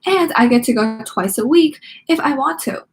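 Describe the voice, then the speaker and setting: A woman's voice: sits high, animated, normal speed, voice pushed up. A woman voicing a snobby, spoiled schoolgirl drama queen from a teen diary storybook, haughty, sugary-mean and dramatic. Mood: smug gloating.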